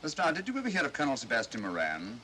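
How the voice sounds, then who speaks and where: A cool, analytical British detective from a 1940s mystery. His delivery is articulate and fast, with lively swings of pitch and dry understatement. The soundtrack is old and slightly noisy. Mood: grave, analytical. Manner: precise, articulate, dryly understated. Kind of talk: 1940s mystery film acting.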